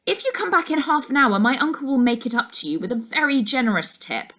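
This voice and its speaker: A woman's voice: high-pitched, sing-song, fast, fairly bright tone, pitched above the performer's own voice, exaggerated voice. A woman voicing a polite but determined young schoolgirl heroine in a children's story, earnest and placating. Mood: apologetic, flustered.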